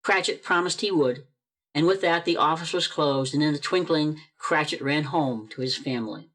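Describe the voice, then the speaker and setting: A man's voice: fairly high pitch, normal speed, a bit bright. A man narrating a church radio play over a video call, reading expressively and building suspense.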